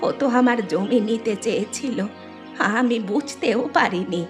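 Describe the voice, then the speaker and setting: A woman voicing an elderly village mother-in-law in a cartoon, weary and humbled, with a slow, plaintive, confessional way of talking. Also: a little high, music in the background, natural voice. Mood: remorseful. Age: older. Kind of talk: Bengali moral cartoon dialogue.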